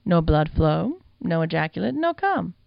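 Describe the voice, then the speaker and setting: Woman doing a raised, gravelly older woman from an audiobook, earthy and mocking, folksy drawl; lo-fi, dry read. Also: on the low side, sing-song, normal speed, dry recording. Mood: teasing.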